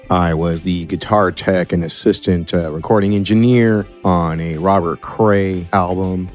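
A man's voice: low-pitched, a little mellow. An American man who works as an audio producer, giving explanatory answers as an interview guest. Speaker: audio producer and sound designer, podcast guest.

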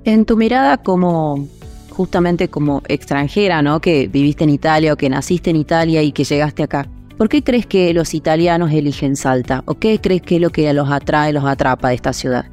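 Low-voiced Argentine woman interviewer. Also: moderate pace, a little mellow, faint music behind. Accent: Argentine Spanish. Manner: inquisitive, asks follow-up questions. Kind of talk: interview questions.

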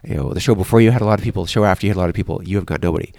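A man's voice: sits low, very fast, gravelly, a little mellow. A man telling anecdotes casually as an interview guest, often correcting himself mid-sentence. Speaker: guest, church concert organizer.

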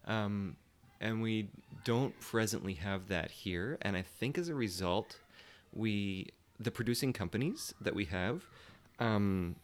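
A man with a fairly low voice talks in a casual panel discussion. He is careful and diplomatic, often hesitating and rewording as he searches for the right phrase. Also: animated, measured pace, few pauses. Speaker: theatre guest.

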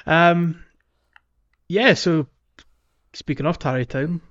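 A man hosting a podcast, chatting casually about a video game and thinking out loud as he goes. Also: on the high side, slow pace, lo-fi sound. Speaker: podcast host.

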